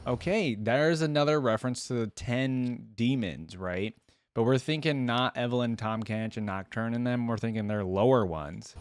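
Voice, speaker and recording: A man's voice: mid-range voice, normal speed, smooth, no echo. A young adult man giving enthusiastic, informal fan commentary as a reaction video host.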